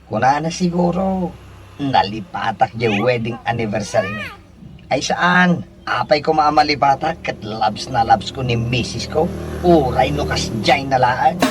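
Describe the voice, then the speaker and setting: A man playing a henpecked, wisecracking husband in a comedy radio drama, animated, with an Ilocano accent. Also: medium pitch, unhurried, over music, natural voice. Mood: sheepish, pleased.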